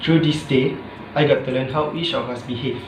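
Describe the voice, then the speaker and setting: A man's voice: medium pitch, steady tone, moderate pace, background noise. Young man reading earnestly, echoey room. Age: young adult. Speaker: student. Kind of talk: scripted reflection read aloud. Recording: roomy echo with background music.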